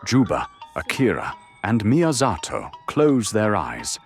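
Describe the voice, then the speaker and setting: Deep, animated male drama narrator. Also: slow. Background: music.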